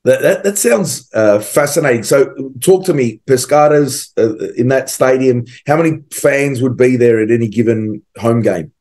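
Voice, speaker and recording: An Australian-accented man hosts the conversation over a webcam video call. His voice is mid-pitched, and his questions come out halting, full of ums and restarts. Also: darkish tone. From western suburbs of Melbourne, Australia. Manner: hesitant, with many ums and restarts. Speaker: podcast host.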